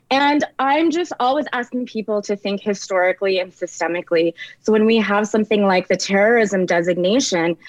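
A woman's voice: mid-range voice, dry recording, muffled recording. A woman giving analytical commentary as a guest, explaining and answering her own questions, over a video call. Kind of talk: conversational political commentary.

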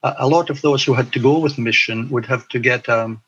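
An older man reflecting on his past in an interview, speaking in a hesitant, halting way. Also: medium pitch, fairly bright tone, breathy edge, muffled recording. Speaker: interview guest, former teacher abroad. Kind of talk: recollection in an interview.

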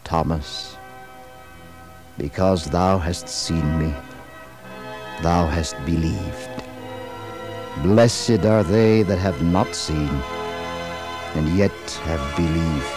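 Man voicing a slow, deep, solemn Jesus. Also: exaggerated voice. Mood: grave, gentle. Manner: slow, measured, archaic scriptural diction. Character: risen Jesus, solemn, gentle, commanding holy man. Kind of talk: 1960s dramatized religious radio reading. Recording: vintage radio production with music.

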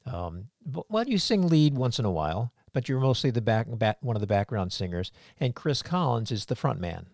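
A man interviewing a musician in relaxed conversation, asking leading questions. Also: mid-range voice, normal speed, creaky, no echo, studio quality. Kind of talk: casual interview chat.

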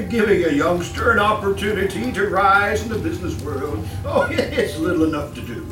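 A man's voice: high-pitched, animated, moderate pace, distant mic. A man acting in a live old-time radio horror play as a falsely genial, fussy employer who chuckles, stammers and repeats himself with hidden menace. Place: live stage performance. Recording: roomy stage from afar, music behind.

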